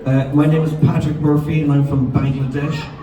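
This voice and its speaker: A man's voice: fairly high pitch, level tone, moderate pace. Jokey Cork-accented man telling a story on stage, mic'd from a distance in an echoey hall. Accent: Irish, Cork. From Ireland. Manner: jokey, laughing through his story. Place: large hall with audience. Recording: echoey hall, far off, crowd.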